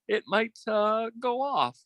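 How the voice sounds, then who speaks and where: A theatrical con-man suitor played by a man, recorded close and dry. His pitch swoops widely as he schemes and bullies, and he is a coward underneath, prone to stammering when flustered, in old-time radio style. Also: sing-song, slow pace, fairly bright tone, dry recording, heavily acted. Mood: nervous pleading.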